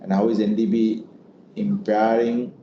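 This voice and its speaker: Very mellow Sri man, echoey muffled audio. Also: on the low side, flat delivery, measured pace. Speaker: bank executive leading an earnings call. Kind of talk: answering investor questions, semi-formal. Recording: muffled, echoey room with noise.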